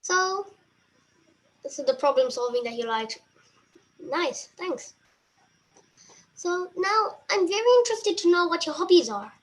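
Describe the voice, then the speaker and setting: A woman's voice: very high voice, lively intonation, measured pace, pauses often, low-quality recording. Polite young woman reading interview questions. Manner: polite, reads prepared questions, thanks often.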